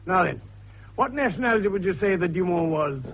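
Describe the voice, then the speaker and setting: Creaky, avuncular old detective doctor. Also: male voice, sits high, animated, brisk, heavily acted. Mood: musing, genial. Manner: avuncular, teasing, rhetorical questioning. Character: shrewd, jovial, avuncular older male amateur detective doctor. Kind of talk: British radio drama acting. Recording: dull lo-fi radio recording with hiss.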